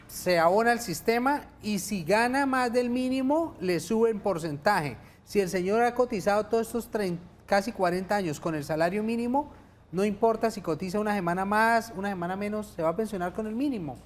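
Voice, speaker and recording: A man's voice: high voice, normal speed, a little hiss or hum. A man with a Colombian accent, a lawyer guest on a TV studio show, explaining pension cases patiently and checking in with his listeners.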